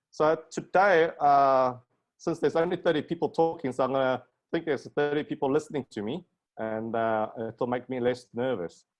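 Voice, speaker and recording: A middle-aged man with a South African accent and a medium-pitched voice speaks as a guest lecturer in a webinar. He talks informally and pokes fun at himself, recorded on a video call. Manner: self-deprecating, joking. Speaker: guest lecturer, plastic surgeon. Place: indoor room at home, video call.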